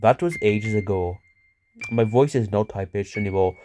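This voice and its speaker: A man's voice: on the low side, normal speed, mellow, faint music behind, lightly acted. A man voicing a bickering, sweary cartoon buddy who reminisces in a rambling, repetitive, conversational way. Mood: bickering, recounting. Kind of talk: casual improvised-sounding cartoon dialogue.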